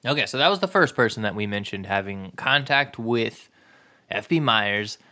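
A man with a very bright, forward voice hosts a history podcast. He talks in an enthusiastic, informal way, commenting conversationally as he goes. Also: fairly low pitch, animated, moderate pace. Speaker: podcast host.

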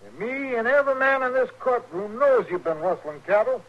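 Crusty, very high-pitched old frontier judge. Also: male voice, animated, fairly quick. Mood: blunt, scolding. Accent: rural Western American. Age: older. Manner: folksy, plain-spoken, cantankerous. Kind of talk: 1950s radio western acting. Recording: muffled 1950s radio recording.

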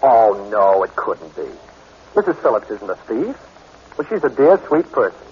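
An actor playing a suave, wry adventurer hero in conversational 1940s radio style. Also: male voice, medium pitch, sing-song, moderate pace, slight background noise, lightly acted. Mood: wry, easygoing. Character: suave, wry adventurer hero, good-hearted former thief. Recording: dull 1940s radio recording.

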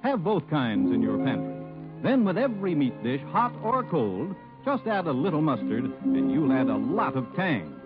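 An American male commercial announcer in old 1950s radio style, lively and slightly high. The sound is tinny and phone-like, with music behind him. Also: moderate pace. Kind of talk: scripted 1950s radio advertising.

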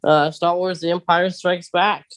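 A man's voice: sits high, little pitch movement, unhurried, fairly bright tone. A man co-hosting a podcast, talking casually and eagerly as a big fan, with plenty of ums. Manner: enthusiastic fan, talkative, many fillers. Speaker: podcast co-host.

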